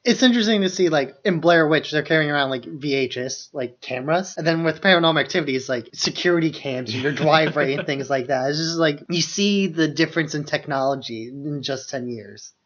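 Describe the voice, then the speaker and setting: The recording is slightly dull and lo-fi. A man with a somewhat high voice co-hosts a film podcast. His talk is casual and informal, with a lot of "like" in it. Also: a little fast, few pauses, a bit bright. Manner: informal, hedging with many "like"s. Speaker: podcast co-host.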